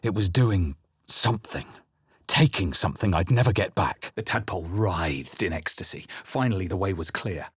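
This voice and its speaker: A man playing a wary, resolute fantasy adventurer in video game voice lines, with a measured, theatrical delivery. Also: low voice, lively intonation, husky, no room sound, studio quality, exaggerated voice. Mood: brooding, grave narration. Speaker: player character voice. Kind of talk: scripted video game voice lines, dramatic narration.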